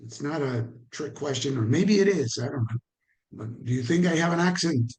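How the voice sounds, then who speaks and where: A man's voice: fairly high pitch, animated, normal speed, a little mellow. Caribbean-accented man teaching a training. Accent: Caribbean Spanish. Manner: conversational, asks the audience questions, repeats for emphasis. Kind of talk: online training talk.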